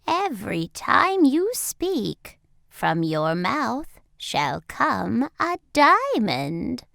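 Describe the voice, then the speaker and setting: A woman voicing a gracious fairy who solemnly and deliberately grants magical gifts in a storybook. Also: fairly high pitch, wildly swooping pitch, creaky, sharp tone, no room sound, clean studio sound, voice pushed up, heavily acted. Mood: gentle, solemn.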